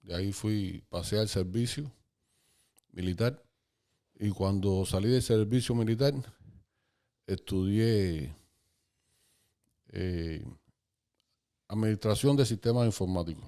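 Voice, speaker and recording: A Cuban man in his fifties, a podcast guest calmly recalling his life story in casual conversation. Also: lowish voice. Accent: Cuban Spanish. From Cuba. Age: 50s. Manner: calm, unhurried, recalling dates and places.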